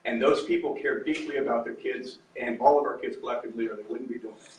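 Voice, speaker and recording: A man giving a loud, earnest impromptu speech to a community meeting. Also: medium pitch, fairly even intonation, fast talker, a little hiss or hum. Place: meeting room. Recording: echoey room, mic far off.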